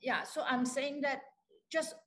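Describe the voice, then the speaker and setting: A woman's voice: high voice, fairly even intonation, unhurried, fairly bright tone. An older woman on a video call, answering interview questions thoughtfully with many restarts and fillers. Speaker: guest lecturer. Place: bright office, indoors.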